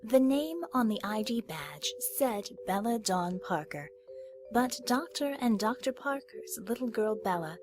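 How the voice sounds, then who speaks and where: A woman reading a story aloud as scripted audiobook narration, with a slightly husky voice. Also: on the high side.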